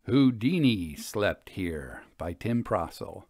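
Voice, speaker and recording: A man narrating from a script as a storyteller, with measured, articulate delivery. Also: fairly low pitch, big pitch swings, a little mellow, no room sound, studio quality. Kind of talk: scripted audiobook narration and commentary.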